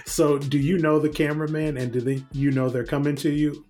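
Relaxed American man asking questions. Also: fairly high pitch, brisk, soft background music. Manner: relaxed, short prompts and questions. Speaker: podcast host. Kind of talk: casual interview.

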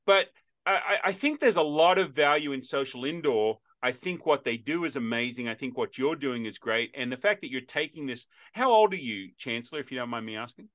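A man's voice: mid-range voice, lively intonation, fairly quick, fairly bright tone. A man in his fifties hosting a podcast interview, chatting in a rambling, storytelling way with frequent restarts. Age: 50s. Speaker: podcast host. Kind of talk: casual interview chat.

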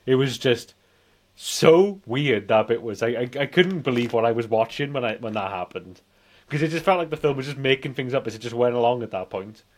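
A man in his forties hosting a casual film review podcast, talking in an animated, repetitive way and punctuating his points with "right?". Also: mid-range voice, brisk. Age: 40s. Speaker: podcast host.

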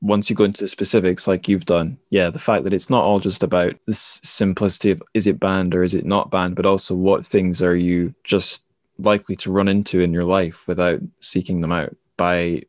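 A man's voice: low voice, level tone, a little fast, no room sound, clean studio sound. A man hosting a podcast in a thoughtful, reflective literary discussion, carefully qualifying his points. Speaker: podcast host.

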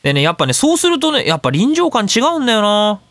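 A man's voice: sits high, wildly swooping pitch, fast talker, fairly bright tone, dry recording, studio quality. A man hosting a radio talk show, casually and emphatically explaining things. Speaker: radio show host and sound director.

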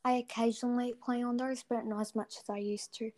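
Young woman gamer, guest, halting, lots of ums; cheap mic sound. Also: a little high, little pitch movement, a little mellow. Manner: hesitant, many ums and trailing sentences. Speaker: interview guest, gaming YouTuber. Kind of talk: casual chat. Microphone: cheap built-in mic.

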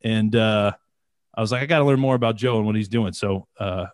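A man's voice: lowish voice, a little fast, no room sound, studio quality. A man hosting an interview podcast, chatting casually and telling stories with lots of fillers like "you know" and "um.". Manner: chatty, rambling storyteller with many fillers. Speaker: podcast host.

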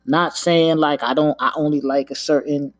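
A man's voice: on the high side, steady tone, moderate pace, dry recording, clean studio sound. A man in his thirties from Long Island with a New York accent, hosting a casual solo podcast from home and rambling with lots of filler. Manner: laid-back, rambling, lots of filler and "you know what I'm saying". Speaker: podcast host. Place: home room, downstairs.

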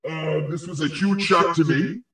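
A man playing the crude, spiteful ghost of a dead man, pompously handing out insults and prophecies in a halting comic delivery. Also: fairly high pitch, vocal fry, a bit bright. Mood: smug. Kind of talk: improvised comic audio play. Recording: very echoey, distant-sounding voice.

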